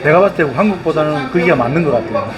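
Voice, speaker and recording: A man's voice: a little high, expressive, very fast. A man interviewing a guest in a chatty, teasing way. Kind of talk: casual interview. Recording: voice with loud music behind.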